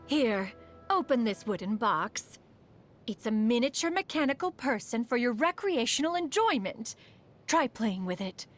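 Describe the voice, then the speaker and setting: A woman's voice: on the high side, very animated, a little slow, faint music behind. A woman voicing a refined, aloof inventor in a video game, with formal, haughty, old-fashioned diction and a proud but doting temper. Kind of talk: scripted video game character lines.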